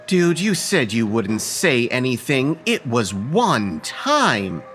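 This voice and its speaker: Young college dude, casual, slangy, a bit defensive, medium pitch, audio drama dialogue. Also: male voice, sing-song, slow, fairly bright tone, faint music behind. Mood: embarrassed, defensive. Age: young adult. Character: laid-back, defensive young male college prankster. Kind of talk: dramatic dialogue in old time radio style audio play.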